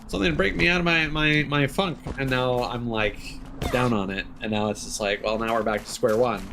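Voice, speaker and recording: A man's voice: medium pitch, fairly quick, gravelly, noisy background. A man giving casual, rambling commentary as he streams a video game live.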